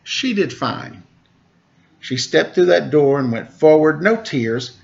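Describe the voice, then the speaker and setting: Gravelly man reading a storybook aloud. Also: on the high side, slow pace, highs cut off. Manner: lively rhyming delivery with rising questions to listeners. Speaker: teacher reading a picture book aloud. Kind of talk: storybook read-aloud to children.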